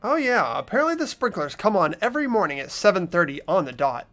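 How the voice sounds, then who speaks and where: Casting: man as hesitant teenage boy for a game, animated intonation, mild and questioning, faint music behind. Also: sits high, fast, heavily acted. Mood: informative. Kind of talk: voiced visual novel game playthrough.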